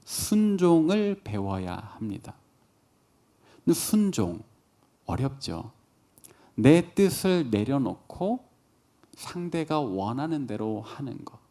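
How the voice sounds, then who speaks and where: A man's voice: mid-range voice, very animated, slow, long pauses, mellow. A man in his thirties preaching a conversational sermon as a pastor, posing questions and answering them himself. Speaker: pastor preaching to young adults.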